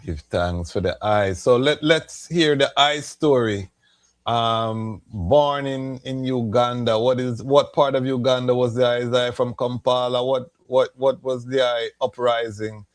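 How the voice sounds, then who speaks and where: A man's voice: medium pitch, fairly even intonation, unhurried, dry recording. A man hosting a live online show, who talks informally and conversationally, with frequent fillers. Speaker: host. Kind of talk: casual live interview.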